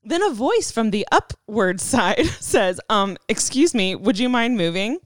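A young woman co-hosting a podcast, reading a listener's story aloud in an animated way and laughing often. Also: medium pitch, a little slow, no room sound. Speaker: podcast co-host.

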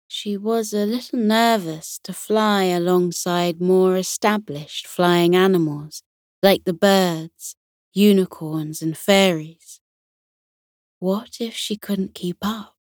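Female narrator for children's bedtime tales, lowish pitch, very slow delivery, gentle and soothing. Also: dry recording, clean studio sound.

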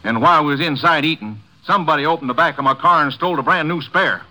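Brisk, forceful, indignant folksy complainer. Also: male voice, on the high side, low-quality recording. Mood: riled up. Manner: blustering, plain-spoken rustic grammar. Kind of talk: 1950s radio drama acting. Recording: old 1950s radio recording.

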